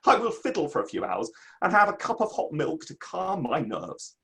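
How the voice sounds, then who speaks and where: A man's voice: a little high, huge pitch swings, moderate pace, sharp tone, exaggerated voice. A man playing an easily affronted Victorian literary man in a play reading, declaiming in grand, formal, old-fashioned phrases. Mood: distraught. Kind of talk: online dramatic play reading.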